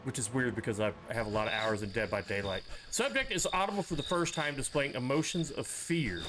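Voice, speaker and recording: A man's voice: mid-range voice, a little fast, noisy background. A man giving casual commentary as he plays a horror game, reading screen text aloud with joking, offhand asides. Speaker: streamer playing a horror game.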